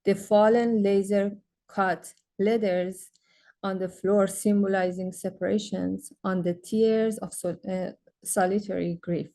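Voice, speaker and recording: A young woman with a Persian accent presents her art at a symposium, speaking slowly in a mellow, dark tone. She reads from prepared text but often stumbles into fillers and restarts. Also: medium pitch, fairly even intonation. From Iran. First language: Farsi. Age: young adult. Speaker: graduate student artist presenting.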